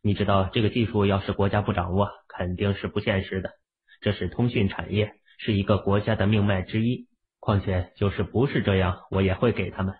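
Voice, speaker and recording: A man's voice: fairly low pitch, fairly even intonation, brisk, close to an everyday voice. A man playing a self-assured, teasing young husband with a casual, bantering delivery in an audiobook. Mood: matter-of-fact, smug. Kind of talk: acted audiobook dialogue. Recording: phone-like, band-limited audio.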